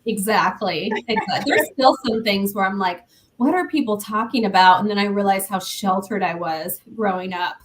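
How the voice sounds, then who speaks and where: A young woman from Iowa, a guest in a casual interview, friendly and quick to laugh. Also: mid-range voice, noisy background.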